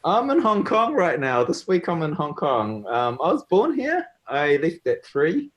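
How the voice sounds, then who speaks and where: Lively, relaxed man on a video call. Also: fairly high pitch, a little slow. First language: Cantonese. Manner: relaxed, laughs easily, frequent ums. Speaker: guest analyst. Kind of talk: casual video call chat.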